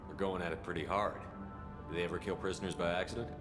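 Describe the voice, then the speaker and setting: An actor playing a tough, no-nonsense military commander in a video game, terse and blunt. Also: male voice, low voice, steady tone, quick pace, music in the background, natural voice.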